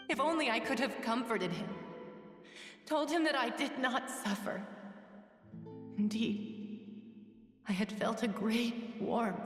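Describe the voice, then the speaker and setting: A woman voicing a gentle, lovelorn water spirit in a video game, wistful and formal, with a ghostly reverb on her voice. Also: a little high. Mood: grieving, resigned, then serene, accepting. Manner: wistful, formal, lingering phrasing. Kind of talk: dramatic video game voice acting. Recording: long reverb, distant, echoing. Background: music.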